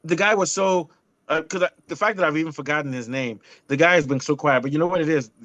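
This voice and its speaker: A man sounds off on a casual motorsport podcast. He is blunt and animated, often restarting his sentences mid-rant. His voice is dry and close, with no room sound. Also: a little high, quick pace, no room sound, lo-fi sound. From not European. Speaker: podcast co-host. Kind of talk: casual opinionated chat.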